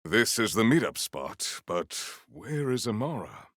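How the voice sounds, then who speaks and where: An actor playing a proud, battle-eager armored warrior-poet in a video game, with formal, theatrical diction. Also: male voice, lowish voice, very animated, measured pace, fairly bright tone, dry recording, clean studio sound, exaggerated voice. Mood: measured, lofty. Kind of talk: scripted game character lines.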